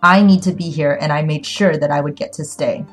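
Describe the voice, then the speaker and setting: A woman's voice: sits low, steady tone, fairly quick, faint music behind. A woman voicing a self-serving, scheming young Chinese man in an audiobook, evasive and defensive. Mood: defensive, accusing.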